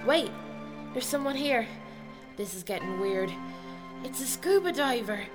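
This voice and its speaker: An actress playing an anxious, principled naval lieutenant in a comedy audio drama. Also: female voice, a little high, expressive, measured pace, over music. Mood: uneasy.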